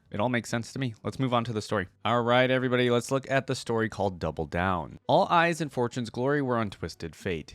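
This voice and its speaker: A man's voice: medium pitch, no room sound. A man hosting a reaction video, moving between casual commentary and reading a story aloud.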